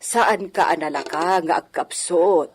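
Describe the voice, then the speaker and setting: A woman's voice: fairly low pitch, a little slow, slight background noise, natural voice. An actress playing a caring, bossy village midwife in a radio drama, admonishing and motherly, with a Filipino accent. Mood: scolding concern. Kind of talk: Ilocano radio drama acting.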